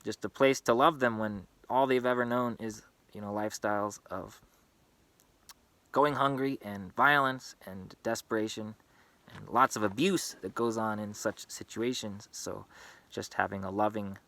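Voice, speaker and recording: A man's voice: lowish voice, unhurried, vocal fry, sharp tone, no echo. A man who grew up in East Africa, talking in an earnest, impassioned, unscripted monologue to his own channel. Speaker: vlogger.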